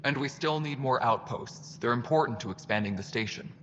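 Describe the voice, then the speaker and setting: An earnest, harried station official, played by a man, with a bright, forceful voice. He presses his point in a reverberant sci-fi game scene. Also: medium pitch, a little fast, lightly acted. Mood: insistent. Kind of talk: video game voice acting. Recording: dull game audio, long reverb, faint noise.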